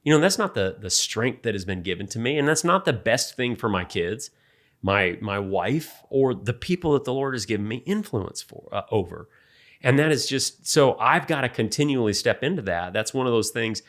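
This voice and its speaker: A man in his late forties talking about his insecurities in an earnest, halting way, often restarting his sentences. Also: mid-range voice, lively intonation, fairly bright tone. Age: 40s. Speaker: podcast co-host. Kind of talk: reflective faith talk.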